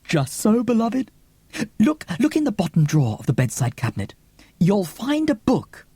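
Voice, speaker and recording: A man's voice: high voice, huge pitch swings, normal speed, warm tone, breathy edge, no echo, pitched above the performer's own voice, full character voice. A man voicing a theatrical elderly woman storyteller, gushing and affectionate, drawing out words grandly in an audiobook. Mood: breathy, romantic.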